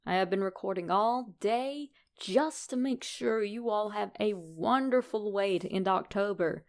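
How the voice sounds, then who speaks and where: Female horror narrator, medium register, deliberate dramatic delivery for scripted tales. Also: mid-range voice. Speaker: host and narrator of horror stories.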